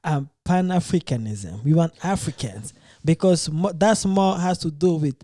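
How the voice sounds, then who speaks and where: Earnest man with a mellow voice. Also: fairly high pitch, moderate pace, no echo, clean studio sound. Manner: earnest, hesitant, restarts phrases. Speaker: podcast host. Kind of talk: casual podcast debate.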